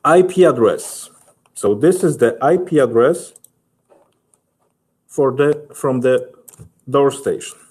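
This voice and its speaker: A man in his thirties with a Polish accent, giving a halting, methodical software tutorial as a technical specialist. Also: mid-range voice, breathy edge. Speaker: technical specialist presenting a webinar.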